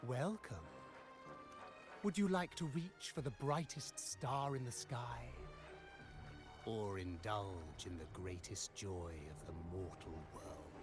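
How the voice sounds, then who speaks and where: An actor playing a flamboyant, greedy demon tempter in a video game, with a sly, grandiose, theatrical delivery. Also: male voice, fairly low pitch, slow pace, music in the background. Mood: sly, tempting. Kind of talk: video game story dialogue.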